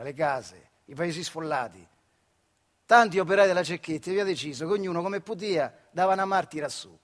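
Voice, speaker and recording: A man playing a wry, earthy factory worker recalling his life in a theatrical radio monologue, in colloquial central Italian dialect from the Marche. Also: high-pitched. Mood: wistful, then proud, animated. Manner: colloquial, emphatic, conversational with dramatic pauses. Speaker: stage actor performing a monologue.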